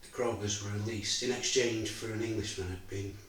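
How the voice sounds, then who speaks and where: A man's voice: fairly low pitch, steady tone, close to an everyday voice. A man playing a grieving older father. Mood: sorrowful. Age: 60s. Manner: subdued, measured storytelling, growing quieter. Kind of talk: theatre monologue. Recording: very echoey room, mic far away, faint hiss.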